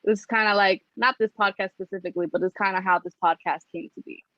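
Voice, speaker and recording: A woman's voice: medium pitch, steady tone, quick pace, no room sound, highs cut off. A young woman from New Jersey hosting a casual podcast chat, talking in a slangy, upbeat, joking way. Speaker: podcast host.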